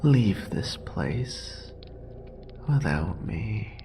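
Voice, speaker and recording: Man acting a creaky, whispering, obsessive yandere lover. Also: big pitch swings, heavily acted. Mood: dying, tender. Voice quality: breathy, whispery, creaky low voice. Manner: slow, halting, intimate fragments with sighs. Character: obsessive, deranged yandere male lover, tender and murderous. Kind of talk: scripted horror roleplay audio acting.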